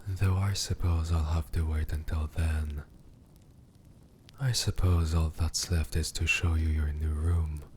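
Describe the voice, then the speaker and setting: A man playing a cruel, possessive demon king in an ASMR roleplay, speaking in a slow, deliberate, condescending and softly menacing way. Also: a bass voice, flat delivery, slight background noise. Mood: amused, menacing. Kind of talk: scripted ASMR character roleplay.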